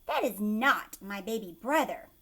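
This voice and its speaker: A woman reading a children's storybook, voicing a skeptical, matter-of-fact young girl with deliberate, emphatic delivery. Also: mid-range voice, lively intonation, bright, forceful tone, husky, heavily acted. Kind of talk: children's storybook read-aloud.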